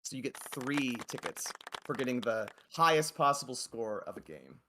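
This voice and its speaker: A man's voice: medium pitch, animated, moderate pace, noisy background. A man narrating a tabletop game as game master in a casual, hesitant, conversational way.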